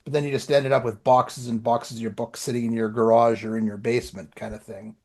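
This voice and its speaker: A man's voice: mid-range voice, flat delivery, a little fast. A man co-hosting a podcast, chatting casually and anecdotally in colloquial language, often acting out remembered exchanges. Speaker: podcast co-host and author.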